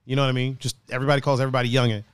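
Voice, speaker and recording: A man hosting a casual podcast chat, talking in a playful, animated way full of slang and laughter. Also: medium pitch, rapid-fire, clear, resonant tone, no room sound, studio quality. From another country, raised partly on the East Coast. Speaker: podcast host.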